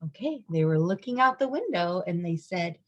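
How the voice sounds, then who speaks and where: A woman's voice: lowish voice, big pitch swings, moderate pace, highs cut off, noisy background. A woman reading a picture book aloud to young children, explaining things simply and emphatically and asking her listeners questions.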